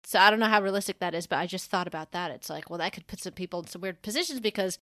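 A woman's voice: fairly low pitch, rapid-fire, a bit bright, no room sound, clean studio sound. A woman co-hosting a podcast in casual discussion, thinking aloud in a rambling, informal way full of filler words. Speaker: podcast co-host.

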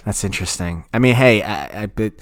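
A man's voice: fairly low pitch, a little fast, no echo, studio quality. Man talking in a profane, rambling style. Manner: profane, repetitive, rambling explanations. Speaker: podcast co-host. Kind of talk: casual opinionated talk about sports radio.

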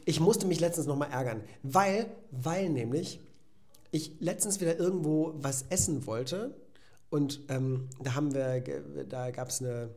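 A man from Belgium co-hosting a casual podcast chat, talking in an animated, ironic way and getting loud when he rants. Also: fairly high pitch, measured pace. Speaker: podcast co-host. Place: echoey room. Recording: roomy echo.

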